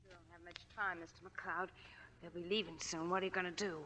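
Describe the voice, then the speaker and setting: An American actress playing a desperate, hard-drinking gangster's moll, emotional and imploring, in 1940s radio drama style. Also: female voice, on the low side, little pitch movement, fairly quick, crowd noise, natural voice. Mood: anxious. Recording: old radio broadcast with music behind.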